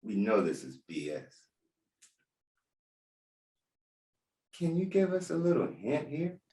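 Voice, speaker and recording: Man acting a lively, blunt detective, echoey distant sound. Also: medium pitch, moderate pace. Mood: skeptical, prodding. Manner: blunt, emphatic questioning. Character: blunt, skeptical police detective. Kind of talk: screenplay table read acting. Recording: roomy, echoey, far from mic.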